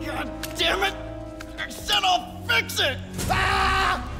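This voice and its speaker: A man's voice: very high voice, slow, gravelly, full character voice. An actor playing a panicked, desperate gang henchman in a video game, pleading in a strained voice. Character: panicked male henchman pleading with his boss. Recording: reverberant hall, music over the voice.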